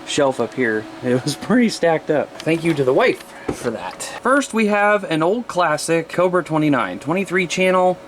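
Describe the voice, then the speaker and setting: Male hobby vlogger, slightly high voice, folksy and informal, faint room hum behind. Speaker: hobbyist showing his radio gear. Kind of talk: casual show-and-tell vlog.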